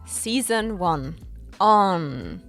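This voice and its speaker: A woman's voice: lowish voice, sing-song, extremely slow, gravelly, fairly bright tone, faint music behind. A woman co-hosting a producer podcast, chatting casually in short, agreeing remarks. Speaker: podcast co-host and executive producer. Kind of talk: casual chat, project update.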